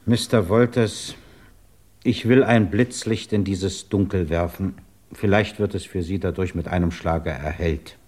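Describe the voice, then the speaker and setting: Deep, husky, courteous British investigator. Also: male voice, fairly even intonation, darkish tone. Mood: insistent, probing. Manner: formal, deliberate, restrained. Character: measured, courteous British government investigator, patiently probing. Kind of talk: 1950s German radio drama acting.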